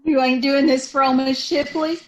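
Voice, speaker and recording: A bitter, worn-down woman with a folksy drawl of broken grammar spits out her grudges, as an actress plays her in a radio play. Her voice sits a little high for a woman. She stays defiant and resentful throughout. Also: steady tone, normal speed, muffled recording, slight background noise, lightly acted. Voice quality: folksy ungrammatical speech, resentful. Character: bitter poor woman, protective sister. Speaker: radio actor. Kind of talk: old-time radio drama acting.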